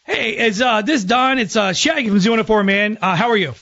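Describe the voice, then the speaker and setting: A man's voice: sits high, fairly quick, sharp tone, lo-fi sound, faint music behind. An American man hosting a radio show, talking casually to callers in a phone-in prank segment. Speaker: radio host.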